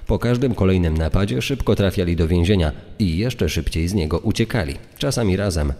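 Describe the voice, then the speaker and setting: A man's voice: deep voice, fairly quick, mellow. A man reading scripted documentary narration in a recording with long reverb. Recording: long reverb over background music.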